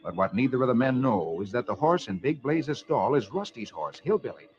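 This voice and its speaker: A man's voice: mid-range voice, expressive, a little fast. An American man hosting and narrating comic-strip stories in 1940s children's radio style. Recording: muffled, noisy vintage radio recording.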